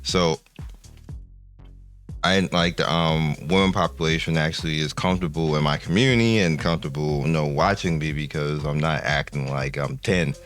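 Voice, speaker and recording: An older man with a very low, deep voice talks casually to his gaming channel's viewers. He rambles and restarts often as he goes through the numbers. Faint music plays quietly behind him. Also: normal speed.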